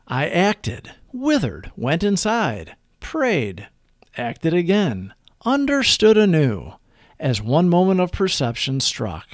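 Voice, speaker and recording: A man giving a scripted devotional reading as narration, with measured, emphatic phrasing. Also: fairly high pitch, vocal fry, no room sound, studio quality. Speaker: reader of daily recovery reflections.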